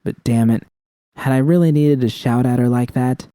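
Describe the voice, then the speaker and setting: A man's voice: medium pitch, normal speed, dark tone, no room sound, clean studio sound. A man reading a scripted first-person story as an audiobook narrator.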